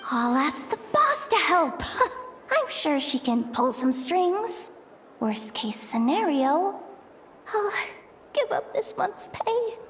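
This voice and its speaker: Guarded young woman, high-voiced and expressive. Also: slow, full character voice. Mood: wry, casual. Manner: casual, wry, dismissive. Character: guarded, pragmatic young woman with a soft heart. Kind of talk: video game voice acting. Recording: studio voice over game music. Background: background music.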